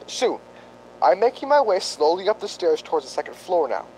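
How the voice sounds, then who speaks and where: A pompous male psychic investigator voiced by an actor reports in formal, deliberate phrases. His fairly high voice moves widely in pitch, in the manner of old radio drama, over a faint rumbling noise. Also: sing-song, darkish tone, low-quality recording. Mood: grave, intense. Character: grave, self-important male psychic investigator doctor.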